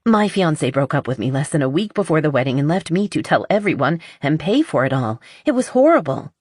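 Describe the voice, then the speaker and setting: A woman voicing an anxious, evasive young romantic heroine in an audiobook, halting and pleading. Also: deep voice, big pitch swings, husky, no echo, studio quality, heavily acted. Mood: defensive, upset.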